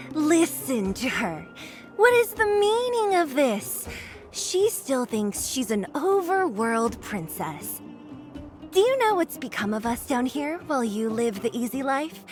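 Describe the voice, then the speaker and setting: A woman's voice: on the high side, very animated, measured pace, faint music behind. A woman playing a brash, scornful young female fighter from the underground in a video game, blunt and taunting.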